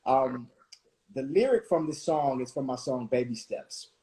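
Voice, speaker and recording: A man in his forties from Washington, D.C., hosting a reflective conversation, thoughtful and full of pauses and restarts. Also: mid-range voice, warm tone, low-quality recording. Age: 40s. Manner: thoughtful, many fillers and restarts. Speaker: host.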